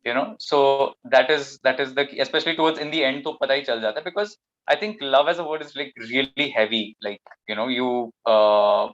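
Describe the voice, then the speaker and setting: A man's voice: medium pitch, a little fast, fairly bright tone. Dull-sounding recording of an Indian man chatting. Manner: explanatory, conversational. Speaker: guest actor. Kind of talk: casual interview chat. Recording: dull, muffled lo-fi microphone.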